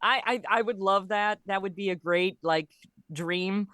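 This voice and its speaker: A woman's voice: medium pitch, unhurried, fairly bright tone, no room sound. Middle-aged female, mid-range voice. Chatty, informal comedy writer in a casual interview, close dry sound. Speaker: podcast guest, comedy writer and audio performer.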